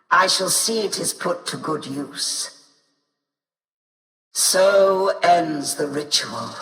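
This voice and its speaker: An actor playing a grandiose, devout machine-cult priest in a video game, speaking in a haughty, ceremonial, archaic way. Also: male voice, sits high, fairly bright tone, exaggerated voice. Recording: distant, echoey hall reverb.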